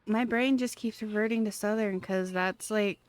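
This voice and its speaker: A woman live-streaming a game and chatting casually with viewers in a rambling, halting, giggly way. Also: mid-range voice, fairly even intonation, no room sound.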